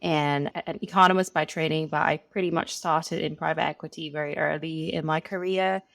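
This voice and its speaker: Low, gravelly woman from Hong Kong. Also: steady tone, brisk, dry recording, clean studio sound. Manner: chatty, many fillers like "you know". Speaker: venture capital partner, guest. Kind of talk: casual interview answers.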